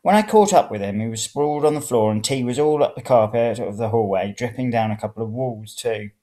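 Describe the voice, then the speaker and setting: A man's voice: medium pitch, fairly quick, mellow. A man reading a first-person story aloud. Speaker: reader of serial fiction.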